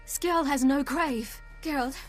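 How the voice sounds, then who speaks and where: A woman's voice: high voice, slow, faint music behind. An actress voicing a headstrong, hurt young woman with magic powers in a fantasy video game, intense and blunt. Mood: troubled, determined.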